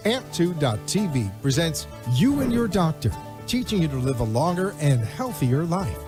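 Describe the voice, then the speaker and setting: A man's voice: a little high, very animated, normal speed. A man reading a show intro and sponsor message in a polished announcer delivery. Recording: announcer over loud music.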